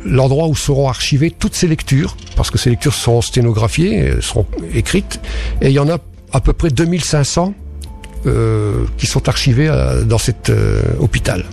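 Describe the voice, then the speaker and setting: Gravelly hesitant French man on radio. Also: mid-range voice, lively intonation, normal speed, a little mellow, faint music behind. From France. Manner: hesitant, many fillers and restarts. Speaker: guest speaker on spiritualism. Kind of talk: radio talk explaining history.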